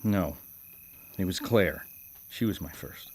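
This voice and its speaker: A brooding, confessional serial killer. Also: male voice, low voice, a little mellow. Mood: subdued confession. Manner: confessional, menacing, halting. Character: brooding guilt-ridden male serial killer, haunted by his mother. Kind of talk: radio drama acting. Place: live radio drama broadcast.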